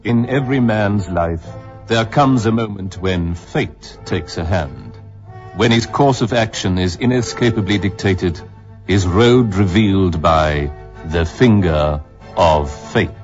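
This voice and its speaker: A man's voice: low-pitched, expressive, slow. A man announcing a radio drama in a solemn, old-fashioned introductory style. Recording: old dull radio recording, music. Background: music.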